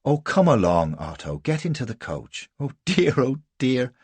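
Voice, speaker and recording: A man voicing a teasing, quick-tempered young Irish woman with a husky voice and Irish accent, in an audiobook story. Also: low-pitched, very animated, dry recording, full character voice. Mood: amused.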